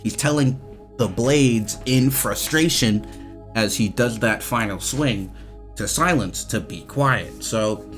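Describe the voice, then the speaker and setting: A man giving casual, off-the-cuff commentary as he reviews game voice lines, talking in a halting, repetitive, slangy way with some swearing. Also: mid-range voice, music in the background.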